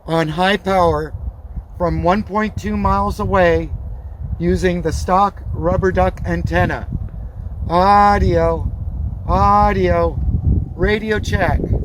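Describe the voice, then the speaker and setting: High-pitched man calling out radio checks. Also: fairly even intonation, slow pace, pauses often, a little hiss or hum, poor audio. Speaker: CB radio reviewer. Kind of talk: radio check test calls and product review talk.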